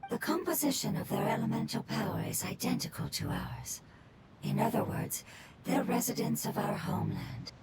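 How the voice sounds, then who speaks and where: A woman voicing a calm, solemn spirit creature in a video game, explaining things in formal, measured phrases. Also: a little high, gravelly, heavy background noise. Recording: loud music behind the voice.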